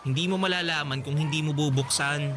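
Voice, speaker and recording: A man's voice: on the high side, fairly even intonation, fairly quick, music in the background, close to an everyday voice. A man playing an earnest, lovesick teenage schoolboy in a radio drama, pleading and insistent. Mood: eager, tender. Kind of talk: Tagalog radio drama acting.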